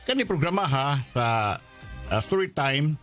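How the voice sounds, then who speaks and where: A man's voice: fairly high pitch, very animated, unhurried, a bit bright. A man with a Cebuano accent hosting a radio show, chatting casually with callers. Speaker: radio host. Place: radio studio. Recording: music playing behind the voice. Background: music.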